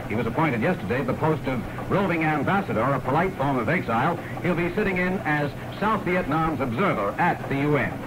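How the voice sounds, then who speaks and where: An American male newscaster delivers scripted 1960s top 40 radio news. His voice is pitched fairly high, and the old recording is clearly scratchy and distorted.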